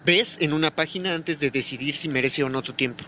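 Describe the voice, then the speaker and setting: A man's voice: fairly high pitch, a little fast, sharp tone. A man hosting a casual interview chat, putting questions to guests and sharing his own thoughts. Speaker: host. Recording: dull lo-fi audio, faint noise, insects.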